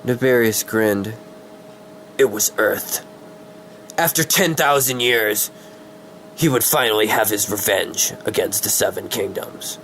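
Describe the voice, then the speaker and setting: A man with a cold doing a dramatic story reading, halting and easily distracted, with casual swearing. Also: lowish voice, fairly bright tone, slight background noise, low-quality recording. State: sick with a cold.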